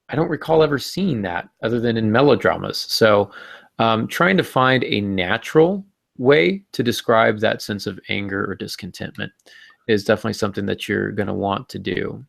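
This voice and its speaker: A man's voice: lowish voice, fairly quick, vocal fry, fairly bright tone, no room sound, studio quality. A man co-hosting a podcast, chatting casually about writing craft and jokingly acting out quotes. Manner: jokey, acts out mock quotes. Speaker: podcast co-host. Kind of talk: casual writing-advice discussion.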